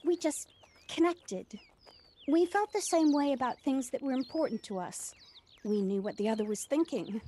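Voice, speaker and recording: A woman's voice: sits high, moderate pace, dark tone, natural voice. An actress playing a proud, devoted widowed mother who runs a cafe, speaking earnestly and reflectively in an audio play. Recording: noisy home recording on personal device.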